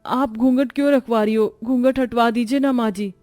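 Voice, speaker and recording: Casting: woman as meek young daughter-in-law in a cartoon family tale, deferential, beseeching, raised pitch, dry recording with faint music. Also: steady tone, moderate pace, a little mellow, no room sound, natural voice. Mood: pleading. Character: timid, pleading young veiled daughter-in-law. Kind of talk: Hindi moral-story cartoon voice acting.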